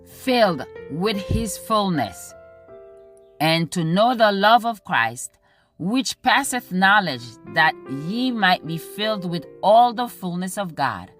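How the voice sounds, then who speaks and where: A woman reading a devotional text and scripture in a measured, deliberate manner, pausing between phrases. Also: medium pitch, sing-song, a bit bright. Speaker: devotional reader. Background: music under the voice.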